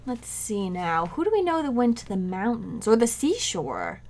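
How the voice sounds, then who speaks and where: A woman's voice: on the high side, huge pitch swings, moderate pace, heavy background noise. An actress playing a playful, scatterbrained young housewife who teases her husband, in an old-time radio comedy. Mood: teasing. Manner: playful teasing, coy innocent asides.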